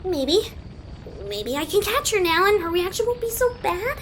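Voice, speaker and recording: A woman's voice: very high-pitched, very animated, normal speed, background noise. A woman playing an anxious, stubborn eight-year-old cartoon pony girl with a pleading, childlike manner. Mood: worried. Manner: pleading, childlike, stammering when in trouble. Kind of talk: animated fan audio drama acting.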